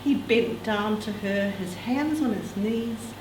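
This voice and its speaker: A woman's voice: mid-range voice, slow pace. A woman reading a novel extract aloud to others with expression, adding casual asides. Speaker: reader at a literary event. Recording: echoey room, distant mic, background noise.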